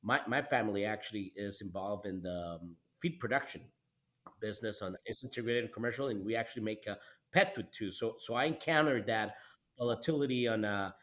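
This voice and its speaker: A man with a Costa Rican accent, a native Spanish speaker, hosting a conversational podcast interview in a halting way, often repeating words and restarting. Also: on the low side, a bit bright. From Costa Rica. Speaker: podcast host.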